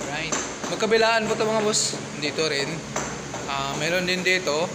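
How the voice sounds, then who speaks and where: A man's voice: sits high, moderate pace, heavy background noise. A man filming a casual walkthrough of a warehouse he is building, talking the viewer through the work with lots of fillers. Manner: chatty, many fillers, keeps addressing viewers as "boss". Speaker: construction vlogger. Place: indoor warehouse under construction. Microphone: handheld phone camera.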